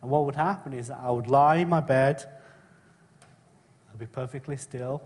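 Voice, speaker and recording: A middle-aged man who was born in Kenya and raised in England, preaching a sermon about his own testimony in a conversational way, often asking his listeners tag questions and clearing his throat. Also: medium pitch, normal speed, a little mellow, in a large hall. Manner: conversational, asks the congregation frequent tag questions.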